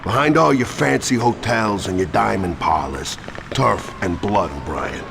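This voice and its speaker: An actor playing a ruthless, swaggering gangster boss in a video game, gravelly, boastful and theatrical. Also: male voice, on the low side, expressive, unhurried, noisy background. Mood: boastful, menacing.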